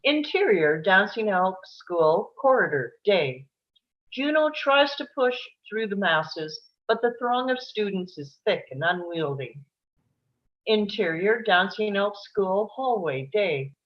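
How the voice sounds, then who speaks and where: A woman's voice: medium pitch, animated, slow pace, lo-fi sound. A woman reading stage directions as the narrator of a live screenplay table read.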